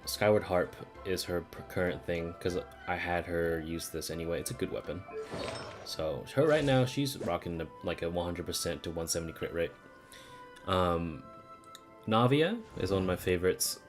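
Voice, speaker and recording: Deep-voiced man rambling casually on stream. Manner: rambling, informal, frequent swearing. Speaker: game streamer. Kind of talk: casual game stream commentary. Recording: music playing behind the voice. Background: game music.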